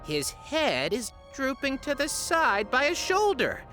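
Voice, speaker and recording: An actress playing a playful, teasing game master narrating a tabletop game in a comedy audio drama. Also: female voice, high voice, sing-song, slow pace, sharp tone, soft background music, heavily acted. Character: gleeful young woman game master, mischievous.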